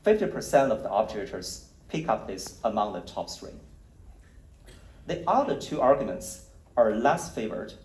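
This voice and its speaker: A man's voice: fairly low pitch, sing-song, a little mellow. Man presenting in an echoey hall. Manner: measured, explanatory, with frequent fillers. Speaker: conference speaker presenting survey results. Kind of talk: conference presentation. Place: conference hall. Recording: roomy hall audio, mic at a distance.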